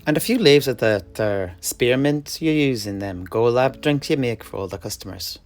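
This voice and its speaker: A man's voice: mid-range voice, lively intonation, moderate pace, lightly acted. Man acting a breezy, swooping alchemist. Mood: unbothered, folksy. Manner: folksy, breezy, dialect grammar. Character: cheerful brash wandering male alchemist, blood-spattered, unbothered. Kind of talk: in-character audio drama dialogue.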